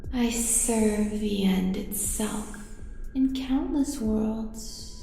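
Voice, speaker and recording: A woman's voice: mid-range voice, gravelly, mellow. Woman as cosmic female villain for horror audio: heavily echoed, languid, seductive, commanding, faint music behind. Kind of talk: horror audio roleplay acting. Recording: long echoing reverb with faint music.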